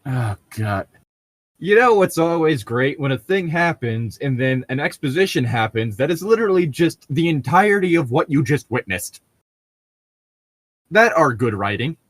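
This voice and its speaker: A young man with a lively, swinging delivery, riffing casually with friends. He is sarcastic and wry and overplays his reactions. The sound is close and dry. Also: on the high side, moderate pace, no echo. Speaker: co-host commenting on fan fiction.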